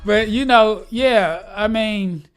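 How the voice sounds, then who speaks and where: A man's voice: high-pitched, takes it slowly, fairly bright tone. Earnest forty-something man, upbeat and preachy. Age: 40s. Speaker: guest, gospel rap artist. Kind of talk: casual conversation.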